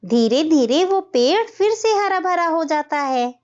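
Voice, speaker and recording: High-voiced woman narrating, slow and expressive. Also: muffled recording. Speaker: storyteller. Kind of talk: scripted cartoon story narration.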